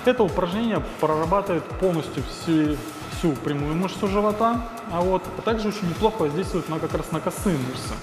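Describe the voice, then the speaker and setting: A man in his thirties, a fitness trainer, explaining ab exercises in a gym on a lapel mic, pausing with fillers. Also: high-pitched. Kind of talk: gym workout tutorial. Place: large indoor gym. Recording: echoey gym with music behind.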